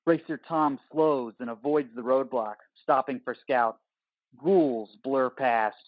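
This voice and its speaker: A man's voice: mid-range voice, slow, dry recording, narrow, tinny audio. A man reading scripted, terse action narration for an audio play.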